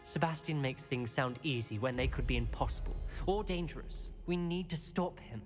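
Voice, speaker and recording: An actor playing a cautious young male student who speaks in a measured, reproachful way. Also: mid-range voice, faint music behind, lightly acted. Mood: worried.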